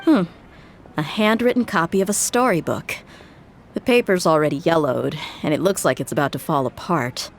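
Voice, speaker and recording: A woman's voice: low voice, moderate pace, slight background noise. A woman playing a tough, blunt young mercenary in a video game, dry, frank and wry. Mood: curious.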